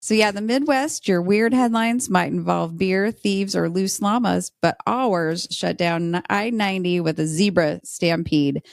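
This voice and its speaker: An American woman with a slightly low voice in a dry, close recording. She tells stories playfully, with some dramatic flourish, in the manner of a podcast guest. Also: a little slow, gravelly, few pauses, no room sound. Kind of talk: lively storytelling and casual chat.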